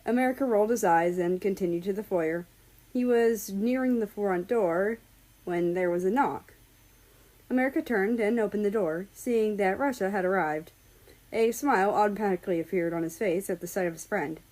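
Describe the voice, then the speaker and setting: A woman's voice: mid-range voice, moderate pace, a little mellow, low-quality recording. Woman reading a story aloud. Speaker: fan fiction reader. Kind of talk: storybook narration with acted dialogue.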